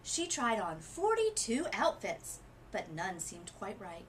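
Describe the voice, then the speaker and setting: A woman narrates a children's picture book aloud. Her delivery is highly animated, with dramatic emphasis and pauses, over faint background noise. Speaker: literacy coach reading aloud.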